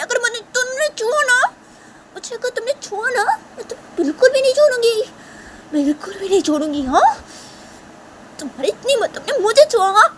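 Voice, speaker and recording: A woman voicing a furious young woman who shouts threats and repeats herself. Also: very high-pitched, a little hiss or hum, voice pushed up.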